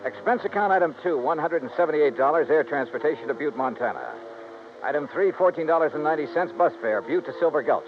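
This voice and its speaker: A man's voice: on the high side, lively intonation, fast talker. A male actor playing a wry, cool-headed insurance investigator with an American accent, casual and skeptical in a 1950s radio detective style. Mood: dry, brisk narrating. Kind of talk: 1950s radio detective acting and first-person narration. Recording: muffled, noisy vintage radio recording.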